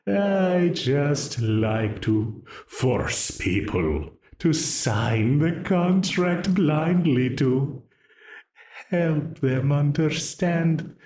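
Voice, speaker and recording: A man's voice: fairly high pitch, animated, darkish tone, reverberant. A man voicing a smug, dominant demon who taunts and laughs as he binds a ruler to a contract. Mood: menacing, mocking. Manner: slow, taunting, with frequent laughs. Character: dominant scheming male demon, smug and menacing. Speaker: voice actor in an audio roleplay. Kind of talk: audio roleplay acting.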